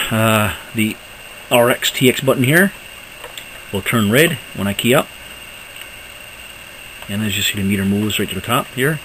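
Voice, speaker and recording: A man giving a casual, unscripted walkthrough of equipment as a hobbyist presenter, halting and thinking aloud as he goes. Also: medium pitch, fairly bright tone, slight background noise, rough recording.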